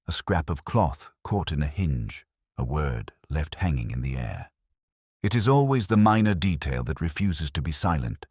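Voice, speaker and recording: A man narrating a scripted first-person detective story in a measured, literary, brooding manner. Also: extremely low pitch, a little mellow, breathy edge, no room sound, studio quality.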